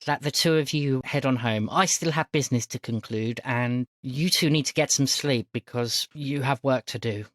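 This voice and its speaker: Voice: medium pitch, no room sound, studio quality, lightly acted. A game master voicing a composed, polite elderly woman in a tabletop game, speaking formally and calling people dear. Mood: polite, knowing. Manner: formal, measured, addresses others as dear.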